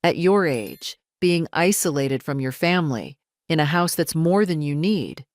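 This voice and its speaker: A scheming daughter-in-law voiced by a woman narrator talks with deliberate care and condescension. Menace sits under her smooth veneer, in a dry, close audio-drama sound. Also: deep voice, lively intonation, no room sound. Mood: falsely warm.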